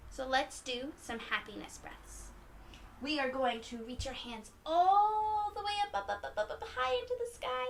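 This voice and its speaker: A woman reading a picture book aloud to young children in a gentle, encouraging way, speaking directly to them. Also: extremely high pitch, steady tone, normal speed, gravelly, slight background noise, low-quality recording. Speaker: children's read-aloud storyteller.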